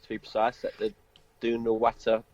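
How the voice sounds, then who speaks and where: A man's voice: mid-range voice, completely flat delivery, brisk, a little mellow, no room sound. A man chatting casually as a band member and interview guest over a remote call. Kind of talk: casual chat. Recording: dull lo-fi call audio.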